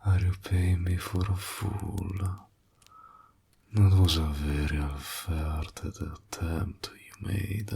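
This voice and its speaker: Guy doing an evil warlock for audio roleplay, super slow n taunting, deep breathy voice, kinda whispery. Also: slight background noise, full character voice. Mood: sinister taunting. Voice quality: breathy, gravelly. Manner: slow, theatrical, taunting, often dropping into a whisper. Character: evil, mocking male warlock toying with his client.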